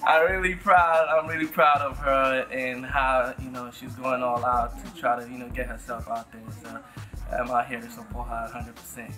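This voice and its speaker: A man's voice: medium pitch, flat delivery, normal speed. A man giving a casual, unscripted message of support to the camera in a living room. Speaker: DJ, friend and supporter. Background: music.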